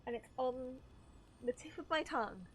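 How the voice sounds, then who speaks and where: A woman with a high, very animated voice streams a game. She chats casually and excitably, giggles a lot and gets sidetracked easily. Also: normal speed, slight background noise, low-quality recording. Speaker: livestreamer reacting to a video game. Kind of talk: casual excited chat while gaming.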